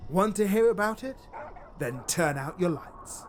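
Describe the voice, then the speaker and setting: A man's voice: sits high, expressive, over music. A man playing a spooky horror announcer, drawing out words theatrically in an old-time radio intro. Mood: ominous. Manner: slow, drawn-out, theatrical delivery.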